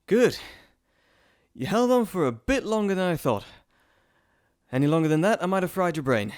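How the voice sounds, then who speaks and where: A man's voice: high-pitched, very animated, normal speed, clean tone. A man playing a playful, cheeky messenger god in a dramatic roleplay monologue, chatty and theatrical, talking straight to the listener. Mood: amused, boastful. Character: playful, teasing male Greek messenger god, cheeky trickster.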